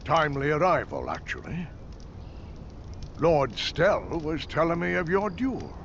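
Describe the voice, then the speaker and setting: A man's voice: fairly high pitch, sing-song, a little slow, highs cut off, noisy background. A male actor playing a courteous, sober noble counsellor who speaks formally and reasonably in a fantasy game.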